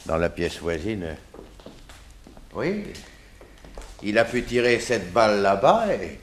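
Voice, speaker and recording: Deep-voiced, excitable, officious coroner. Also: male voice, takes it slowly, slight background noise. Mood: puzzled, theorizing. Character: nervous, officious male coroner, easily startled. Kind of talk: French detective radio serial acting.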